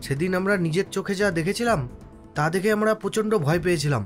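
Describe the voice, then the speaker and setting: A man's voice: high voice, fast talker, faint music behind, lightly acted. An actor voicing an earnest, frightened village boatman insistently telling a ghost tale in a horror cartoon. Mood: grave, fearful. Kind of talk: cartoon horror story voice acting.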